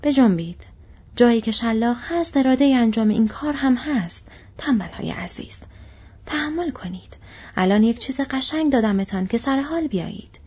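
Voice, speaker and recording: A woman voicing a brutal male orc slave-driver who shouts threats and taunts, with a husky voice, on a slightly dull recording. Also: a little high, animated, pitched above the performer's own voice. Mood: jeering.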